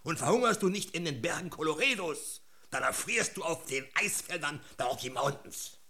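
Bright, animated frontier storyteller. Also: male voice, on the high side, moderate pace. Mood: fervent, ominous. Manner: declamatory, theatrical, taunting. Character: fervent male frontier storyteller luring listeners westward. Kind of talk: German radio drama acting.

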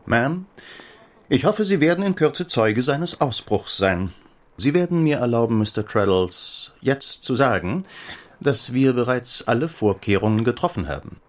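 An actor playing a pompous, indignant, debt-ridden gentleman in a radio play, speaking in a florid, declamatory way. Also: medium pitch, sing-song, measured pace. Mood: solemn, formal. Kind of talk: German radio play acting. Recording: studio radio drama with music.

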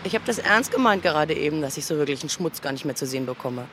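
A man's voice: fairly high pitch, animated, rapid-fire, pushed and bright. A man rambling informally and philosophically in a blunt manner. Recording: noisy street with traffic behind.

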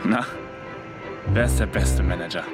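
Brash young traveller over loud music. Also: male voice, low-pitched, unhurried. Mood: relaxed, impressed. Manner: slangy, colloquial. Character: brash, scheming young man, stranded traveller acting as manager. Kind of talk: naturalistic modern radio drama dialogue.

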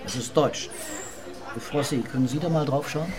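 A man's voice: a little high, moderate pace, close to an everyday voice. A man acting in a radio drama as a methodical police investigator, questioning and summing up step by step. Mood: probing.

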